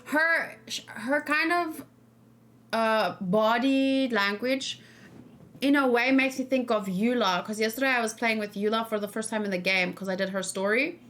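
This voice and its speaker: Female streamer, fairly high voice, casual and rambling, reacting and discussing off the cuff. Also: normal speed, fairly bright tone. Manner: rambling, thinking aloud, hesitant. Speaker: streamer host.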